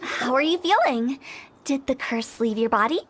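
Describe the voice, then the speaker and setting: A woman's voice: sits high, lively intonation, moderate pace. A woman voicing a mischievous young funeral director in a video game, playful and teasing with theatrical flair. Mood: bright. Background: game music.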